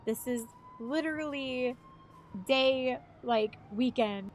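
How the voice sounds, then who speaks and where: Chatty woman in her thirties, fairly high. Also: takes it slowly, a little hiss or hum. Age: 30s. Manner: chatty and rambling, swears freely. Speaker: live streamer. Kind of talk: casual chat.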